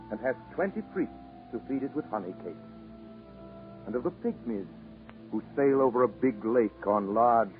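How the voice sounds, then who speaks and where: A man giving scripted storybook narration in measured phrases, in the style of 1940s radio drama. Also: medium pitch, phone-quality sound, lightly acted. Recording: 1940s radio broadcast over music.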